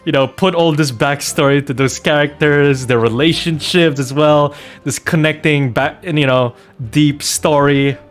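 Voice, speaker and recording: Animated man telling stories over music. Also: fairly high pitch, a little slow, hardly any pauses. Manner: animated, jokey storytelling with mock-innocent protests. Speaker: streamer playing a video game and host of the channel. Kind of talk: casual anecdotal chat while streaming.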